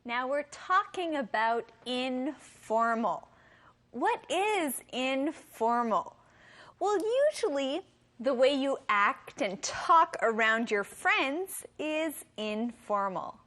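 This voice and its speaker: A woman's voice: sits high, lively intonation, slow pace, sharp tone. A woman co-hosting an English lesson, giving simple scripted explanations with careful enunciation. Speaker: co-host of an English lesson show.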